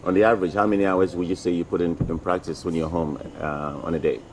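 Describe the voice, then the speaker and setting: A middle-aged man hosting a casual interview at an outdoor tennis court, talking haltingly with many fillers into a table mic. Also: sits low, level tone, background noise. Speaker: interview host, tennis federation president.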